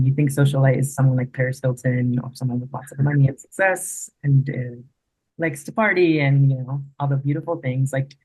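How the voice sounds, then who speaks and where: A man's voice: mid-range voice, darkish tone, husky, dry recording, rough recording. A man speaking as a guest in a casual interview, reflective and thoughtful, often restarting his sentences.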